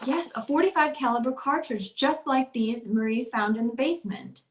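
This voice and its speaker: An actress plays an anxious wealthy wife in an old-style radio thriller. Her voice sits high and pleads earnestly for belief. The sound is noticeably roomy. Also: lively intonation, moderate pace, a little hiss or hum. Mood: grave, pleading. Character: anxious wealthy wife convinced her husband wants her dead. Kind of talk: old-time radio drama acting.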